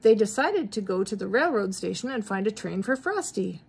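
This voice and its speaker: An older woman reads a picture book to small children. Her voice is a little husky with a cold and rises and falls animatedly. She breaks off for gentle questions to her listeners. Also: mid-range voice, normal speed. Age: middle-aged or older. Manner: expressive, questioning asides to young listeners. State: mild cold. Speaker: grandmother reading to grandchildren. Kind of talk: children's storybook read-aloud with asides.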